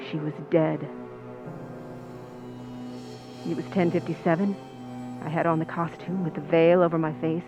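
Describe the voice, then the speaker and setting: A woman playing a scheming stand-in actress confessing to murder in an old-time radio suspense narration, with tense, deliberate delivery. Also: low-pitched, lo-fi sound. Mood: grim, hushed. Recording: music under the voice.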